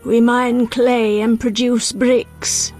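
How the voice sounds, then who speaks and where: A woman playing a solemn, cryptic elderly village leader in a video game, speaking in sparse, measured phrases with a husky voice. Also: on the high side, little pitch movement, darkish tone. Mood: guarded. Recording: music behind.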